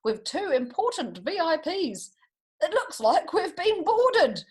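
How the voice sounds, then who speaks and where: A commanding ferry captain, put on by a woman storyteller. The orders come briskly in a raised pitch, in children's storybook style. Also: very animated, heavily acted. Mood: urgent command.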